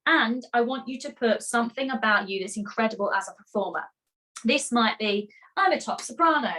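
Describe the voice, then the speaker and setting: A woman coaching musical theatre performers in a live online masterclass, energetic and encouraging, chatty with rhetorical questions. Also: on the high side, brisk, low-quality recording. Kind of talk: live online masterclass, reading chat comments.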